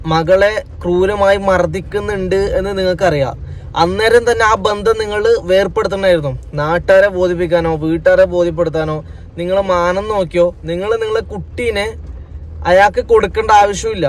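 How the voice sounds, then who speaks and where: A man's voice: sits high, fairly even intonation, brisk, a little hiss or hum. A man with a Kerala Malayalam accent giving unscripted news commentary, addressing people directly and admonishingly with repeated points. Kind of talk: unscripted opinion commentary to camera.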